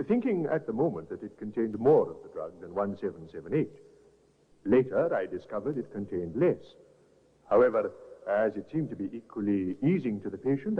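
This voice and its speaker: An actor playing a careful, formal doctor giving evidence in a 1950s radio drama. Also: male voice, fairly low pitch, husky. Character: careful, apologetic male doctor witness. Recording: tinny old radio broadcast with reverb.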